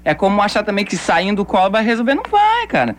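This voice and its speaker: A woman's voice: medium pitch, huge pitch swings, fast, over a noisy crowd. A woman from Bahia hosting a call-in radio show, talking bluntly and conversationally with rhetorical questions. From Bahia, Brazil. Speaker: radio host.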